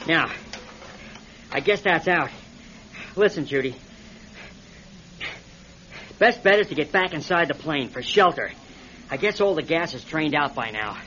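A man's voice: on the high side, expressive, unhurried, long pauses, sharp tone. An American man acting in a radio drama as an injured, practical, defensive pilot stranded after a crash. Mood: practical, urgent. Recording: dull, noisy old radio recording.

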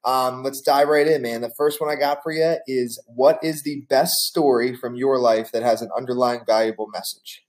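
A man hosts an interview show, reading prepared questions in a relaxed, easygoing way. His voice sits at an ordinary middle pitch and he keeps calling his guest "man". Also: moderate pace, few pauses, poor audio. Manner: casual, says "man" a lot. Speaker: podcast host.

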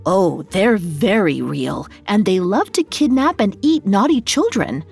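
A woman's voice: mid-range voice, sing-song, measured pace, gravelly, darkish tone, husky, faint music behind, full character voice. A woman voicing a stern, teasing nanny with a scolding, ominous storybook manner. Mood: playfully ominous. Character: stern, teasing nanny scaring a naughty child.

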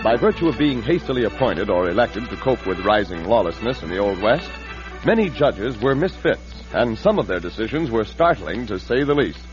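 A man's voice: on the low side, big pitch swings, normal speed, dull audio. A man narrating an old-time radio show with a dramatic, polished announcer's delivery. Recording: band-limited old radio broadcast. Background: music.

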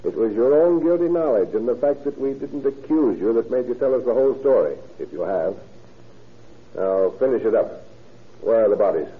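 An actor playing a stern, sardonic police detective in an old radio crime drama, with a clipped, authoritative delivery. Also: male voice, medium pitch, animated, normal speed, natural voice. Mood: firm. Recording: tinny vintage radio recording.

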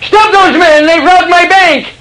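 An actor playing an agitated, indignant man in a classic radio drama, excitable and emphatic. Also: extremely high pitch, a little slow, full character voice, rough recording. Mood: outraged, alarmed. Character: agitated, indignant men caught up in a robbery.

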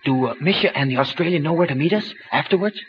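Guy playing an eager young sharpshooter, high slangy voice, old radio drama, muffled hissy audio. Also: fast, natural voice. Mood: curious, alert. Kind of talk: 1940s radio adventure acting.

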